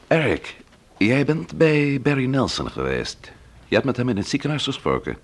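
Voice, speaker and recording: An actor playing a composed, probing police investigator in a Dutch radio drama, speaking in a measured, questioning way. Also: male voice, mid-range voice, huge pitch swings. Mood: probing, intrigued. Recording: radio play with faint background noise.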